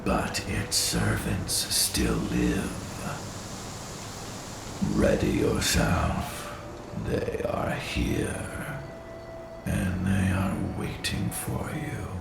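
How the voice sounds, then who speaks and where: A man voicing an ancient, solemn spirit guardian in a video game, speaking gravely and with command in a gravelly voice. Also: rumbling low, wildly swooping pitch, exaggerated voice. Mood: grave warning. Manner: slow, solemn, portentous. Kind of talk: video game cutscene acting. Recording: distant echoing voice, heavy noise.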